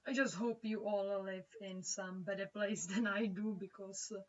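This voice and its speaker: A young woman from the Czech Republic, a native Czech speaker with a Czech accent, chats casually on a drawing livestream and haltingly searches for words. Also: mid-range voice, fairly even intonation. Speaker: livestreaming comic artist. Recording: dull, distant-sounding home microphone.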